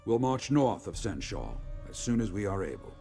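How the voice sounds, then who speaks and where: A man playing a remorseful, resolute middle-aged general in a video game, with a gravelly voice and formal, measured military speech. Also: on the low side, big pitch swings, mellow, soft background music. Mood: businesslike. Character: remorseful, resolute veteran male general, father of a grown daughter. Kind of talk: scripted video game character dialogue.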